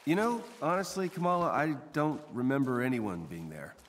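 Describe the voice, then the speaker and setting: A middle-aged man with an American accent playing a weary scientist in a video game, dry, halting and understated. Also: mid-range voice, very animated, a little hiss or hum, close to an everyday voice. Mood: dry, hesitant. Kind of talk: naturalistic video game acting.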